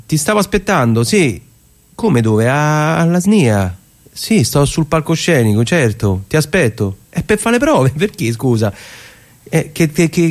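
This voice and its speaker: A man's voice: mid-range voice, a little mellow, lightly acted. Man playing a chatty stage actor. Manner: halting and repetitive on the phone, measured when reading. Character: chatty stage actor on a phone, rehearsing alone. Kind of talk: theatrical monologue, casual phone talk and literary reading.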